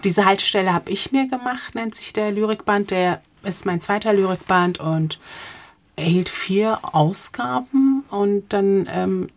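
A woman in her forties from Germany, answering interview questions conversationally as a poet, reflective and pausing to search for words. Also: medium pitch. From Offenbach, Germany. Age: 40s.

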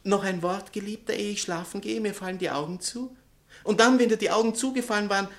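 An actor playing a vain, nervous writer and former lover in a satirical radio play, theatrical, sarcastic and easily agitated. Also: male voice, sits high, quick pace. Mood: wounded indignation.

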